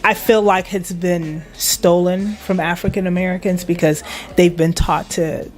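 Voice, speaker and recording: A woman's voice: lowish voice, steady tone, measured pace, faint music behind. A woman from Liberia, a guest in an interview, speaking with pride and emphasis and laughing often. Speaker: guest, internet radio station manager. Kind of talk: casual interview chat.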